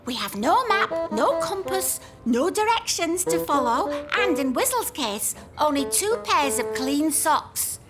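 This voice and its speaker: Very high-pitched, eager village woman. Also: sing-song, unhurried, few pauses, loud music behind the voice. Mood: reading aloud earnestly. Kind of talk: comedy audio drama acting.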